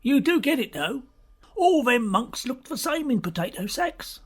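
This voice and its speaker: Casting: actor as chatty male backstage witness, rambling and theatrical, slow storytelling with lively swings of pitch. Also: slight background noise. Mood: excited, eager. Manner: rambling, gossipy, colloquial, theatrical. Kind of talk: stage-style radio murder mystery acting.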